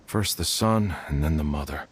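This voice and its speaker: An actor playing a solemn household doctor in a video game, speaking in a formal, measured way. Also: male voice, very deep voice, slight background noise. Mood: solemn, regretful. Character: grave, measured male household doctor bearing sad news.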